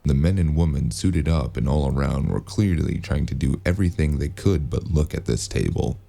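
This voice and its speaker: A man playing a gruff, wary noir investigator in an audio drama, narrating and talking in a dry, hard-boiled, laconic way. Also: rumbling low, moderate pace, warm tone, exaggerated voice. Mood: brooding, observant.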